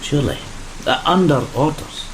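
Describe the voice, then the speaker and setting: A man's voice: fairly high pitch, moderate pace, background noise, lightly acted. A man playing a wistful, weathered sailor, speaking hesitantly and plainly in a gothic audio play. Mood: reflective. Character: wistful, weathered male sailor, survivor of a flogging. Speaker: actor in an audio drama. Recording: steady rain behind the voice.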